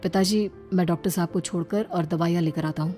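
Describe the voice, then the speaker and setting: Woman voicing a calm, placating family man. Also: on the low side, fast talker, pitched below the performer's own voice, close to an everyday voice. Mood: calm, reassuring. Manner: reassuring, explanatory. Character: calm, placating family men, husband and father-in-law. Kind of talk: cartoon moral story acting. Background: faint music.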